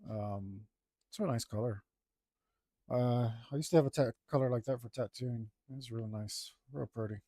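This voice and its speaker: A man talking casually to himself as he works on a digital drawing, mumbling in a relaxed way. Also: medium pitch, normal speed, no echo, studio quality. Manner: mumbling to himself, laid-back. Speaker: digital artist. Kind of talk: casual talk while drawing.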